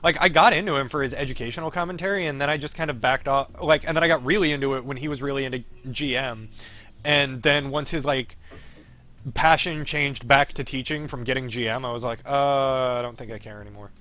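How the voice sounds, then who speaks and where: A man's voice: mid-range voice, fairly quick, bright, forceful tone. A man chatting casually on a game livestream, blunt and sweary, reading from the game at times. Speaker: streamer reading a visual novel aloud. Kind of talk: casual livestream chat with read-aloud game dialogue.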